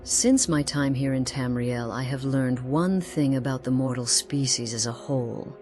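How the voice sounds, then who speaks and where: Woman acting an aloof, very low-voiced otherworldly woman. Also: a little mellow, soft background music. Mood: grave, ominous. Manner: formal, measured, hesitant phrasing. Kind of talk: scripted fantasy game dialogue.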